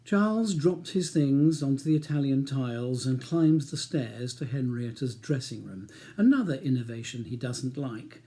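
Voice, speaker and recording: A man's voice: medium pitch, lively intonation, normal speed, hardly any pauses, mellow. A man reading his own crime novel as a scripted audiobook narration.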